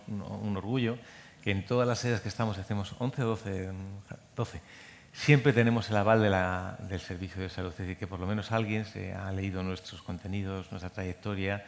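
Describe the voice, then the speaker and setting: Low-voiced man speaking in a reverberant hall. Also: creaky. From Madrid. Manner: rambling, with many restarts and repeated words. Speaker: scientific coordinator of a medical training program. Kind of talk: conference speech. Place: conference hall.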